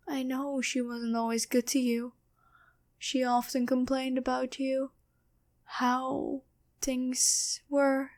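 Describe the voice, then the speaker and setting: A woman playing a sly, teasing female demon posing as a girlfriend in an intimate, deliberate ASMR roleplay. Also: high-pitched, darkish tone. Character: taunting female demon possessing and impersonating someone's girlfriend. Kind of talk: ASMR roleplay, addressed to the listener.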